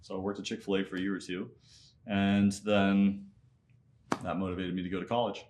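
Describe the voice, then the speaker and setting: A man's voice: deep voice, flat delivery, fairly quick, a little mellow, slight background noise. A man in his thirties from Nepal with an American accent, telling personal stories as an interview guest in a reflective, conversational way. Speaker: interview guest, MBA student and entrepreneur.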